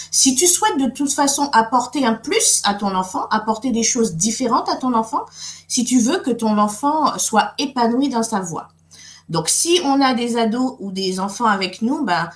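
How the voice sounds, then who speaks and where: Middle-aged woman from Guadeloupe hosting a webinar, friendly, lots of fillers, roomy sound. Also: fairly high pitch. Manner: friendly, many fillers and restarts. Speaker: webinar host and coach. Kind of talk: live webinar talk.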